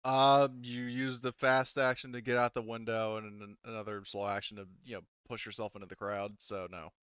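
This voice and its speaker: A man's voice: fairly low pitch, level tone, moderate pace, fairly bright tone, clear, resonant tone, no room sound, muffled recording. A man acting as game master, talking casually and thinking aloud through the rules of a tabletop roleplaying game.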